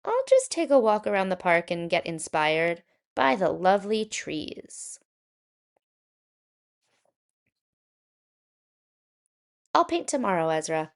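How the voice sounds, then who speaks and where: A woman voicing an earnest, worried male painter in a children's picture book, with animated, expressive delivery. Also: lowish voice, normal speed, vocal fry. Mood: dreamy, procrastinating.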